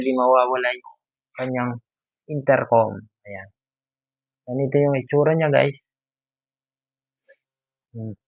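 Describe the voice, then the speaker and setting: A man with a Filipino accent hosting a casual unboxing vlog, explaining things in halting, piecemeal phrases. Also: mid-range voice, gravelly, dry recording, dull audio. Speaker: YouTube host, product affiliate.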